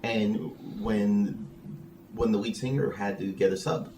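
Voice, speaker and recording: A man's voice: lowish voice, fairly even intonation, slow pace, darkish tone, poor audio. A man hosting a casual podcast interview, talking in a wordy, emphatic way with repeated phrases. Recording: noticeable background room noise.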